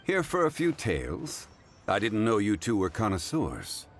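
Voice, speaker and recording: A man voicing a learned, composed gentleman in a video game, speaking in a formal, measured and courteous manner. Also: fairly low pitch, wildly swooping pitch. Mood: amused, calm. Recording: music behind the voice.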